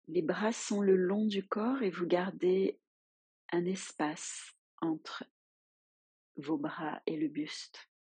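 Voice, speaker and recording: Woman, yoga nidra teacher, very slow pace, soft husky tone, soothing guided meditation, long pauses. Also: fairly low pitch, fairly even intonation, dry recording.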